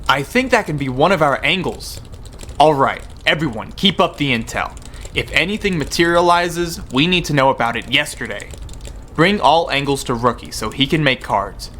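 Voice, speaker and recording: A bright, forceful teenage team leader played by a man gives terse orders in a slightly high voice. The radio drama recording has noise and typing behind it. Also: animated, moderate pace. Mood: rallying. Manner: commanding, terse, urgent. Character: intense, scheming teenage boy leading a debate team. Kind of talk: amateur radio drama acting.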